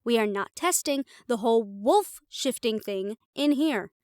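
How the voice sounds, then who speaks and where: A sardonic, bossy siren from a fantasy audio drama voiced by a woman, slightly high in pitch. She speaks with sarcastic emphasis and sounds close and dry, quick to show exasperation. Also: expressive, darkish tone, clean tone, no room sound. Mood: exasperated. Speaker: character in fantasy audio roleplay. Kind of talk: dramatic audio roleplay acting.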